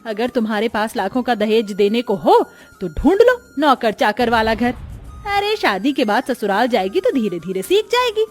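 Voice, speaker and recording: A scolding village mother, acted by a woman, high in pitch and exasperated. She nags sharply in cartoon moral-story style, with music behind her. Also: big pitch swings, moderate pace. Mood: defensive. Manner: nagging, exasperated.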